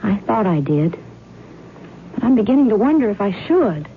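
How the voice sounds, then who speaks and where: A woman's voice: mid-range voice, big pitch swings, normal speed. An actress playing a carefree, affectionate young college woman in old-time radio drama style, casual and earnest. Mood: tender, affectionate. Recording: muffled, slightly noisy radio recording.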